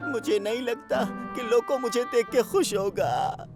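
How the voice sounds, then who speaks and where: A woman voicing a young male diesel train, mischievous but guilt-ridden, boyish and expressive, in a Hindi children's cartoon. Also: a little high, a little slow. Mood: downcast. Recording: background music under the voice.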